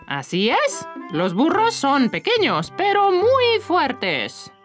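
Friendly cartoon donkey-ride attendant, helpful, lively intonation, faint music underneath. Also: female voice, high-pitched, normal speed, full character voice. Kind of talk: children's cartoon dub.

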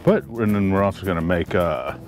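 A man co-hosting a casual outdoor cooking demo, talking in a relaxed, chatty way as he explains each step. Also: low voice, a little fast. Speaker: cooking show co-host. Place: outdoor campsite. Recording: music playing behind.

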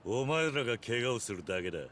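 A man's voice: mid-range voice, expressive, fast talker, a bit bright, slight background noise, natural voice. A man voicing a grim, stoic, menacing ex-yakuza in a video game, speaking tersely and commandingly. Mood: cold, menacing. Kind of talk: video game cutscene voice acting.

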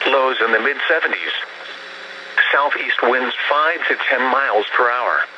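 A man's voice: medium pitch, measured pace. A synthesized male voice reading a scripted weather bulletin in a formal, segmented, impersonal way, as an automated radio announcer. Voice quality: synthesized text-to-speech voice. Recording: muffled weather radio broadcast, loud noise.

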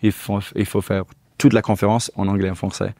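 Guest spot: English guy in his thirties, lowish voice, hesitant second-language speaker, dry close lapel sound. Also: flat delivery, brisk, warm tone, dry recording. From England. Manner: hesitant, searching for French words, many restarts. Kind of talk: casual conversational interview. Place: small indoor studio with couch. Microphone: lapel mic.

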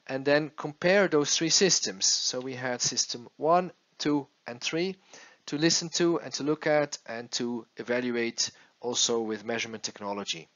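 Man, middle pitch, explanatory slide narration, halting delivery with repeated words, slightly dull recording. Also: a bit bright. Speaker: acoustics consultant presenting a case study.

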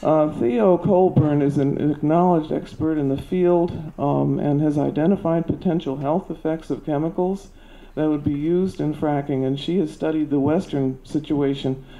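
Older woman, soft and mellow-toned, earnest and a little halting, handheld mic in a meeting hall, muffled sound. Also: deep voice, fairly even intonation. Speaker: public commenter. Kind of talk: prepared public comment. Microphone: handheld microphone.

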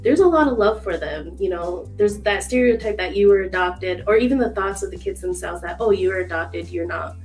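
A woman's voice: on the low side, brisk, few pauses, a little mellow, soft background music, low-quality recording. A woman panelist chatting casually about picture books, with frequent "like" fillers. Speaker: publishing staff panelist.

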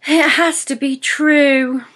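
A tiny female pixie from a children's storybook, played by a woman. The voice is squeaky, high and bright. She sounds anxious and blurts her words out helplessly. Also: steady tone, takes it slowly.

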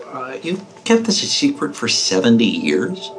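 Skeptical codebreaker, expressive intonation. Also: male voice, medium pitch, measured pace, loud music behind the voice. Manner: skeptical, probing. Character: cool, skeptical male codebreaker. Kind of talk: audio drama dialogue.

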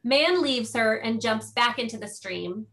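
A woman's voice: on the high side, expressive, a little slow, a bit bright. A woman giving scripted narration, reading out the stage directions of a play.

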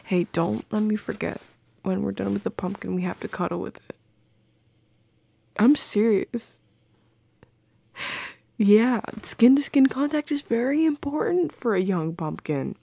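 Soft, creaky-voiced young woman, playfully intimate. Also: mid-range voice, animated, measured pace, pauses often, dry recording. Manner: playful, affectionate, teasing, soft and breathy. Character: playful, affectionate goth girlfriend. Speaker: audio roleplay performer playing a girlfriend. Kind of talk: intimate girlfriend audio roleplay, ASMR-like. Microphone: close microphone, dry.

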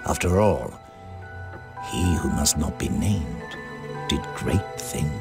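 An actor playing an eerie, courteous old British wand shopkeeper in a video game, speaking deliberately with theatrical pauses. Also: male voice, rumbling low, expressive, vocal fry, full character voice. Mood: mysterious, ominous. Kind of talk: game cutscene acting. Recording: loud music under the voice.

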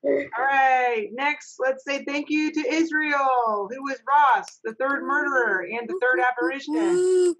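Upbeat high-voiced woman hosting a video call. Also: fairly bright tone, rough recording. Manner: upbeat, encouraging, brisk announcing. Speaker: stage manager hosting an online reading. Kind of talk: casual group wrap-up, thanking each reader. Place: home office, indoors. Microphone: webcam on a video call.

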